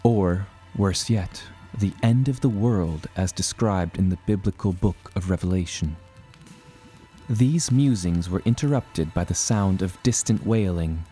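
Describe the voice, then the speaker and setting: An earnest adventurer recounting his voyage. The man's voice is deep and mellow, with measured, formal phrasing suited to dramatic audio storytelling. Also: faint music behind. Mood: solemn. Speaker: narrator and protagonist. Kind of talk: scripted first-person adventure narration.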